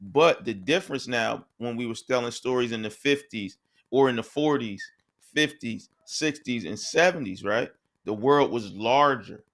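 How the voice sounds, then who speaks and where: A man's voice: mid-range voice, measured pace, fairly bright tone. A man co-hosting a podcast, talking in a casual, conversational way and often checking in with "right?". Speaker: podcast co-host. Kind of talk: casual discussion.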